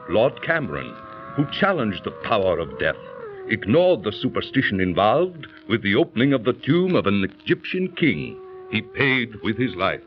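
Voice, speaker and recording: Man playing a grave, animated, cautioning judge. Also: mid-range voice, natural voice. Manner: solemn, declamatory. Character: grave, cautionary male judge haunted by a warning. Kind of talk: 1930s radio drama acting. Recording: muffled, faintly noisy 1930s radio recording.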